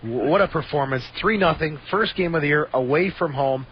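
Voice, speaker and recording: A man's voice: fairly high pitch, a little fast, husky. A man hosting a sports radio call-in show, upbeat and moving the conversation along. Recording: dull lo-fi radio audio, faint noise.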